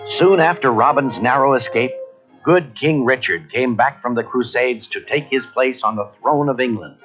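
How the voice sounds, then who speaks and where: A man narrating a children's read-along story in a dramatic, expressive, scripted style. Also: mid-range voice, normal speed. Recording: muffled old cassette, music behind.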